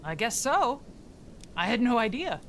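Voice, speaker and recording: A woman's voice: fairly high pitch, very animated, unhurried, slight background noise. A woman playing a reserved woodswoman, dry, hesitant and understated, in naturalistic audio drama style. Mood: dry, awkward. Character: reserved, awkward woodswoman, softening toward a friend.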